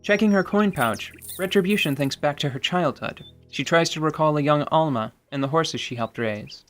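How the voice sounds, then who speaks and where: A man narrating a scripted tabletop radio drama in a measured, wry way, over a music bed. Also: a little high, a bit bright.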